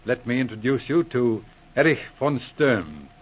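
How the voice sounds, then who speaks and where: An actor playing a shrewd, resolute military police inspector in a 1940s radio drama, with clipped, authoritative speech. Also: male voice, mid-range voice, big pitch swings, a little slow, breathy edge. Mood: firm, commanding. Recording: muffled old radio recording.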